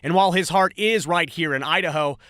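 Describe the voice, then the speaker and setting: A male TV reporter reads scripted narration in a high, bright voice. His delivery is measured and even, paced for a news story. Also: dry recording.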